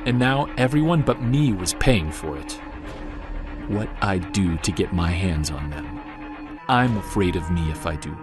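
Male for audio drama: guilt-ridden investigator husband, low earnest voice, music behind. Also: animated, unhurried. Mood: brooding, angry. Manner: confessional, earnest. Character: troubled, guilt-ridden husband and Bigfoot investigator recording confessions. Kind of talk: audio drama monologue and dialogue.

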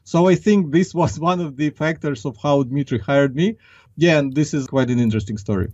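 A man's voice: a little high, fairly quick, a little mellow, breathy edge, no echo. A man in his thirties from Ukraine with a Ukrainian accent, talking conversationally as an interview guest. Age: 30s. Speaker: interview guest, marketing executive. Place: small acoustically padded room. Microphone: large desk microphone, close. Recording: slightly dull, band-limited online call.

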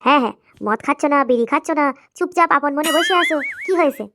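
A woman's voice: high voice, expressive, fast, background noise. A woman voicing a nosy, eager older village man coaxing his friends in a comedy cartoon, music behind. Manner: coaxing, repetitive. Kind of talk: comic cartoon dialogue. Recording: background music throughout.

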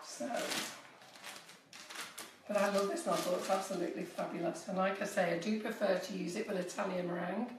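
A woman's voice: mid-range voice, flat delivery, fairly quick, far from the mic, noisy background. Chatty woman teaching cake piping, echoey kitchen. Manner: chatty, instructive, addresses viewers directly. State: slightly squeaky voice from a cold. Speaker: cake decorating tutor. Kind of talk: live-streamed baking demonstration. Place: home kitchen, indoors. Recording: echoey kitchen, voice often off-mic.

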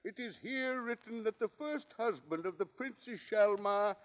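An actor playing a wise astrologer in a vintage radio comedy, declaiming prophecy in a solemn, portentous way. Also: male voice, high voice, animated, normal speed. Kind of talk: 1940s radio comedy acting. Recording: muffled 1940s radio recording.

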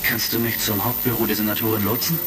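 An actor playing a casual, wry space hero commander in a retro sci-fi radio play, talking in short informal lines. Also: male voice, on the low side, little pitch movement, quick pace, close to an everyday voice. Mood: focused. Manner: informal, clipped, calls partner buddy. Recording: crackly vintage radio-play record. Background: music.

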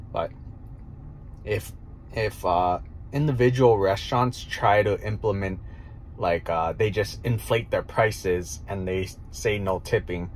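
A man in his thirties talks slowly and casually into a phone from a parked car at night, his voice low and unhurried. He thinks aloud with plenty of fillers and pauses. Faint vehicle noise hums behind him. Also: poor audio. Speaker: restaurant owner and chef. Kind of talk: casual monologue. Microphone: phone camera held close.

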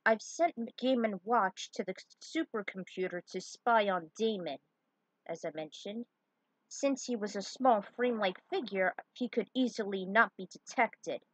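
A woman voicing a solemn male guardian, a giant living hand, in a formal, deliberate manner in a fan story reading. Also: mid-range voice, no echo, lightly acted, rough recording. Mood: explaining.

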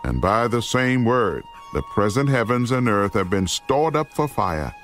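Grave apostle warning his flock, lowish voice, oratorical, deliberate, dramatized scripture, faint music underneath. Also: big pitch swings, a bit bright. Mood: solemn, exhorting.

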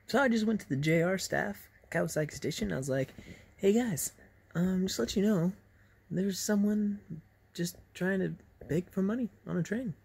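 Creaky-voiced young man telling stories. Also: high-pitched, normal speed, a little mellow. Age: young adult. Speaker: vlogger telling personal stories. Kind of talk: casual storytelling to camera. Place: small bedroom, lying in bed. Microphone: phone at arm's length.

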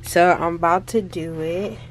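A woman's voice: lowish voice, steady tone, unhurried, a little hiss or hum. A young woman in her twenties, chatting casually to camera in her small bedroom, candid and loose with plenty of fillers. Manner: chatty, candid, lots of fillers and trailing thoughts. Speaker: vlogger. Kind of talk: casual vlog talk to camera.

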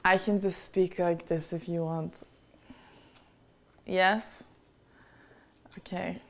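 A woman's voice: fairly low pitch, fairly even intonation, slow pace. A young adult woman streamer chatting playfully at home, trying out accents. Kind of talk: casual chat, mimicking accents.

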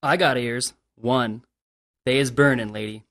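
Man acting blunt male, folksy, defensive, radio drama, medium pitch. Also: fairly even intonation, a little slow, creaky, fairly bright tone.

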